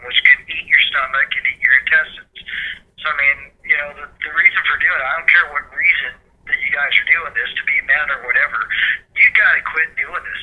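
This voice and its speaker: A man's voice: on the high side, pushed and bright, breathy edge. A man working as a poison control call-taker, giving blunt, matter-of-fact advice over the telephone. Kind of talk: phone consultation, plain-spoken advice. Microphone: phone call. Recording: harsh telephone line.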